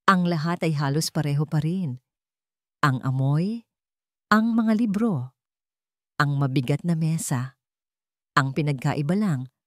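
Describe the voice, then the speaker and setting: A woman's voice: low-pitched, darkish tone, no echo, clean studio sound. A woman reading a scripted, dramatic story as its narrator.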